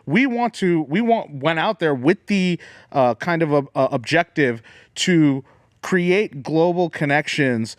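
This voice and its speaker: A man's voice: a little high, lively intonation, dry recording, studio quality. A man who organizes a political club, speaking casually on a talk show and restarting often mid-sentence. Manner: halting, many restarts.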